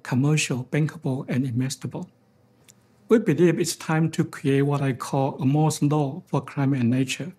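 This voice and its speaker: A very husky, rough-edged male voice with a Taiwanese accent, pitched a little high. He delivers a formal keynote as an executive would, phrasing each point deliberately. The tone is on the mellow side. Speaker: financial executive giving a keynote speech. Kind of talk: prepared formal conference speech.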